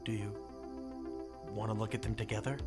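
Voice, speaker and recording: A man's voice: lowish voice, flat delivery, normal speed, lightly acted. An actor playing a kind, concerned young male neighbour in an audio roleplay, speaking in a hesitant, informal way. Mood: tender wonder. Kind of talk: naturalistic audio roleplay acting. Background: music.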